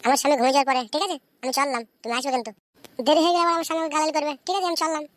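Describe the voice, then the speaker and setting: A woman acting as a coy, defiant young Bengali village wife from a comic cartoon. Her voice sits extremely high and races along, wheedling one moment and bickering the next. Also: very fast, no room sound, lightly acted. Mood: scheming.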